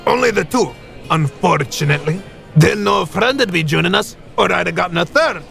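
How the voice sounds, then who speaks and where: Cheeky boisterous hunter, very creaky voice, bright edge, folksy teasing. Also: male voice, sits high, animated, fairly quick, faint music behind, exaggerated voice. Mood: nosy, teasing. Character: jovial, cheeky, chatty hunter and ale drinker. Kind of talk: fantasy audio drama acting.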